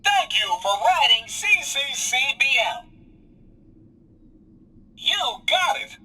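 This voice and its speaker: A man voicing a cheerful robot bus driver from a video game, chirping slow announcements in a raspy, mechanical voice. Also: very high voice, big pitch swings, pushed and bright, heavily acted. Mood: cheerful, announcing. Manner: chirpy, slow, sing-song public announcements. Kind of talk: video game character voice lines.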